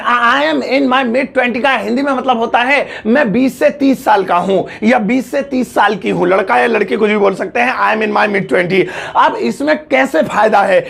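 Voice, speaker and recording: A man's voice: extremely high pitch, creaky, fairly bright tone, breathy edge. A young man teaching English in Hindi, joking, teasing and laughing often. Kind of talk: playful Hindi English lesson.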